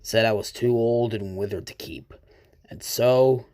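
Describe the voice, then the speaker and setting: A man voicing a battered old male rescue engine in a fan comic dub, weary, stoic and gentle. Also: mid-range voice, fairly even intonation, unhurried, clear, resonant tone, dry recording, clean studio sound, voice pushed down, lightly acted. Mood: wry, resigned. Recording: music under the voice.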